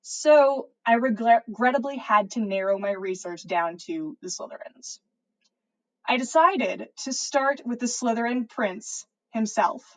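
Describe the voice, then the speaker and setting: A woman's voice: a little high, unhurried, low-quality recording. A woman narrating fan fiction as a podcast host, reading the story expressively.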